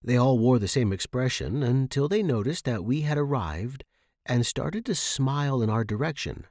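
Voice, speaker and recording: A man's voice: mid-range voice, expressive, moderate pace, darkish tone, no room sound. A man narrating a scripted story for a fiction podcast, recorded close in a dry space.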